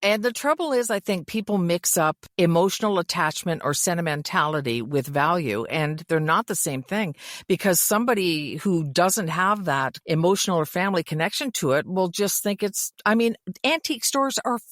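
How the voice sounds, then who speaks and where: Low-voiced middle-aged Canadian woman, chatting casually. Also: expressive, moderate pace, no room sound, clean studio sound. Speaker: podcast co-host. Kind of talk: casual chat.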